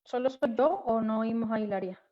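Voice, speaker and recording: Higher-pitched woman moderator, dull call audio. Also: brisk, mellow. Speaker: panel moderator. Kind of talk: online panel moderation. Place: online video call.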